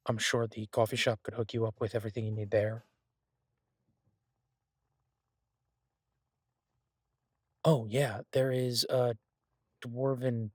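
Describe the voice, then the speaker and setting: An earnest, easygoing boyfriend and chef acted by a man talks casually in an intimate fantasy roleplay. His voice is husky and mid-pitched, reassuring and a little halting, recorded dry with no room sound. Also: darkish tone, dry recording, lightly acted. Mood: casual, enthusiastic. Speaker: audio roleplay performer. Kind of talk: intimate one-sided audio roleplay, conversational.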